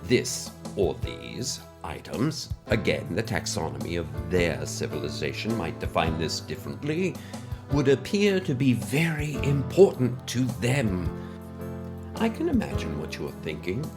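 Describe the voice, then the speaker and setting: A man's voice: on the low side, sing-song, a little slow, over music. A man playing a pompous, mysterious conspiracy theorist in a formal, verbose, pedantic scripted monologue. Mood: pompous, earnest. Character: pompous mysterious male conspiracy-theorist scientist on a cassette letter.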